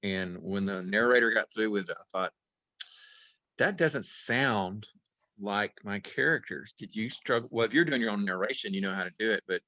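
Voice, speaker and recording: A man's voice: on the low side, moderate pace, pushed and bright, dry recording. A man author chatting folksily on a panel. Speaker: author guest. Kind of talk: casual panel chat.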